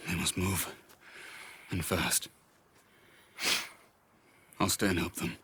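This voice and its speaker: Western game loyal ally: grave, measured, low-voiced and slow, solemn. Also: male voice, a bit bright, a little hiss or hum. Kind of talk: dramatic western game acting.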